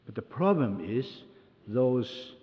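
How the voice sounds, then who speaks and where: An older man, raised in Taiwan with Japanese as his first language, speaks to a gathering at an unhurried, careful pace. He lists things one by one in a plain middle-pitched voice. The recording is dull and lo-fi, with a long hall echo. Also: big pitch swings. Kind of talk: addressing an audience.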